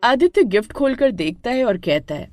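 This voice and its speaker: A woman's voice: a little high, big pitch swings, moderate pace, a little mellow, faint music behind. A woman narrating a scripted dramatic story as its storyteller.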